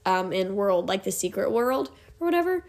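A woman's voice: medium pitch, normal speed. Stuffy-nosed young woman, giggly rambler. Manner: rambling, giggly, animated retelling. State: stuffed up from allergies. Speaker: podcast co-host. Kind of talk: casual chat, storytelling.

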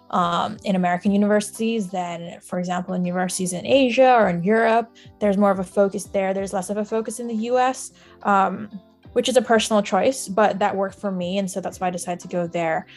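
A woman's voice: medium pitch, fairly even intonation, a little fast, darkish tone, soft background music. A young woman from Singapore and Shanghai speaking casually as an interview guest. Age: young adult. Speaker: podcast guest, music data analyst.

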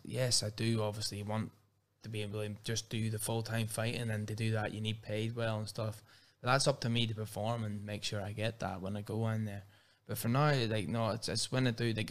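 A man with a Northern Irish accent and a lowish voice. He talks casually as an interview guest, rambling, restarting his sentences and leaning on "like" as he goes. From Northern Ireland. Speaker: MMA fighter and guest.

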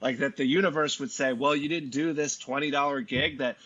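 A man's voice: medium pitch, steady tone, fairly quick, a bit bright, husky. A man speaking earnestly in casual, reflective conversation, often restarting his phrases, on somewhat dull audio.

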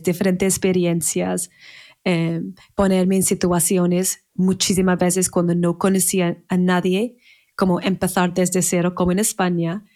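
Podcast guest: English woman, 30s, native English speaker, lowish voice, halting and searching in Spanish. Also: a little mellow. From England. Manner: searching for words, restarts in a second language. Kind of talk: casual conversational interview.

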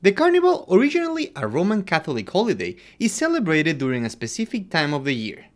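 Animated man from Ecuador co-hosting. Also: fast. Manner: animated, expressive delivery with wide pitch swings. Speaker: podcast co-host. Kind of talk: scripted podcast narration.

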